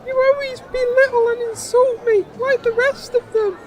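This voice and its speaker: A man's voice: extremely high pitch, fairly even intonation, normal speed, a little hiss or hum. A man voicing a meek, naive little sidekick in a comedic fantasy audio drama, whiny, plaintive and politely deferential. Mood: hurt, tearful.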